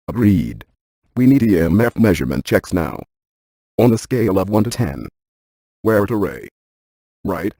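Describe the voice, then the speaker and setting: Video game paranormal scientist: cerebral male, deadpan and exact, very mellow dark tone, close dry sound. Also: lowish voice, breathy edge, no room sound, exaggerated voice. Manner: deadpan, precise, technical. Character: cerebral, methodical paranormal scientist, adult man. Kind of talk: scripted video game dialogue.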